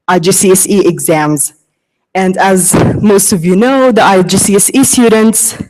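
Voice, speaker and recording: A teenage student speaks very slowly and haltingly, restarting her phrases with nervous laughs. Her voice carries a long hall reverb from the auditorium. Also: medium pitch, darkish tone. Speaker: student panelist. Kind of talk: personal story on stage. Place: large auditorium stage.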